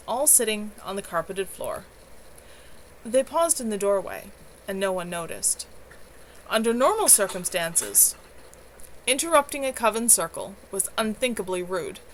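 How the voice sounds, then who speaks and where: A woman's voice: mid-range voice, a bit bright, rough recording. A woman narrating a novel aloud as its author, with an earnest, amateur storyteller delivery. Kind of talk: expressive audiobook-style narration. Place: at home, livestream. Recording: clear background noise, home livestream.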